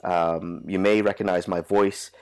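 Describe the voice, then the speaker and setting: Deep-voiced nasal man with a cold. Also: flat delivery, unhurried, smooth. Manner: rambling, many fillers and restarts. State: stuffy from a cold. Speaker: podcast host. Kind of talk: casual chat.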